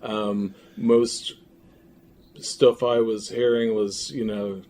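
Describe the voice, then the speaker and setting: A man chatting casually as an interview guest, halting as he thinks aloud. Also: lowish voice, flat delivery, a little mellow. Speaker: interview guest, audio drama creator. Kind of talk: casual chat. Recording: faint background room noise.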